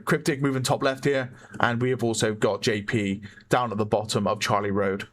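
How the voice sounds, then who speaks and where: A man with a hoarse voice giving chatty play-by-play commentary on a competitive online shooter match, often correcting himself. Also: mid-range voice, steady tone, moderate pace, a bit bright. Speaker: esports caster. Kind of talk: live game commentary.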